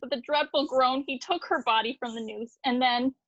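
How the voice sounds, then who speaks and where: An actress plays a horrified messenger from the palace in a Greek tragedy. Her voice is high and dry, telling the tale with dramatic, theatrical gravity. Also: steady tone, moderate pace, a bit bright, no room sound, dull audio. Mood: grave, horrified. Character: horrified palace messenger reporting gruesome deaths.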